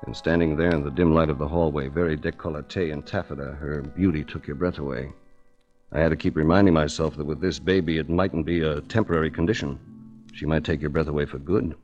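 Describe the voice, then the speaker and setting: A hard-boiled American investigator with a very low voice. He tells his own story with dry, wry drama, in the manner of 1940s radio, over faint music. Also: fairly even intonation, fast talker, darkish tone, muffled recording. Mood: wry, dramatic. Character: tough, wry male private investigator narrating his own case. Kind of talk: 1940s radio detective drama acting and narration.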